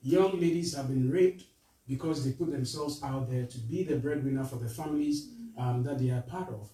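A man from Ghana with a Ghanaian accent, giving an earnest charity appeal to a church, halting with fillers and restarts. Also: medium pitch, warm tone. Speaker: charity representative speaking to a church. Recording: roomy echo, voice from far off.

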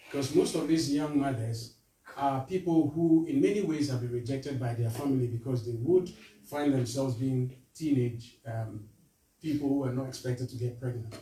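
A man from Ghana with a Ghanaian accent, giving an earnest charity appeal to a church, halting with fillers and restarts. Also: medium pitch, mellow. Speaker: charity representative speaking to a church. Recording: roomy echo, voice from far off.

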